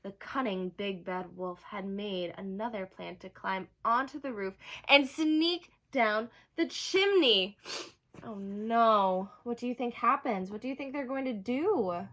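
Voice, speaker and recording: A woman storyteller reading a storybook aloud to children, expressive, with asides and questions to her young listeners. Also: medium pitch, measured pace.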